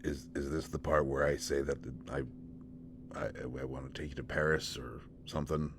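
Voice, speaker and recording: A man playing a gentle, awkward, devoted companion in an intimate one-sided roleplay audio drama, speaking in a halting, stammering way. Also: rumbling low, flat delivery, background noise. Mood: sheepish, wry.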